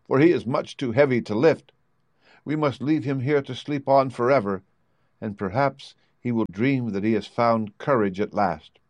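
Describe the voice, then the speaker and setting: A man's voice: mid-range voice, brisk, hardly any pauses, no room sound, voice pushed up, natural voice. A man voicing a kindly, courteous tin woodcutter with an earnest, tender way of speaking, in a storybook reading. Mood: sad.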